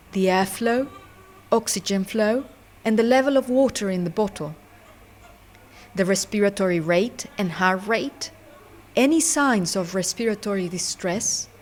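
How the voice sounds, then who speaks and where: A woman delivers instructional narration at a medium pitch. She explains each step in a measured way, like a trainer guiding learners. There is faint noise behind her voice. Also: darkish tone.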